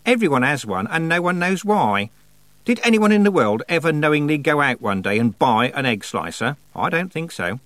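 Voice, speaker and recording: Storybook goddess: skinny tired woman, wry and casual, high lilting delivery, performed by a male reader. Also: sing-song, normal speed, fairly bright tone, no room sound. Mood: offhand, wry. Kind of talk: audiobook character voice.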